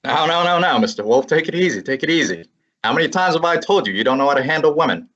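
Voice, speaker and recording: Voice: mid-range voice, animated, fast, fairly bright tone, lightly acted. A student actor playing an exasperated young male assistant and narrator in a student radio drama, with a pleading, wisecracking manner. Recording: dull lo-fi recording.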